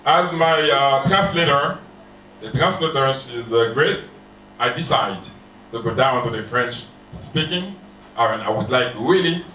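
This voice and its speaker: A man from Haiti, a pastor preaching in short phrases that pause for his interpreter, with grammar. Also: medium pitch, lively intonation, bright, forceful tone, a little hiss or hum. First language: Haitian Creole. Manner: speaks in short phrases with pauses for translation, non-native grammar. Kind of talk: sermon delivered through an interpreter. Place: church hall. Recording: echoey church hall, mic off at a distance.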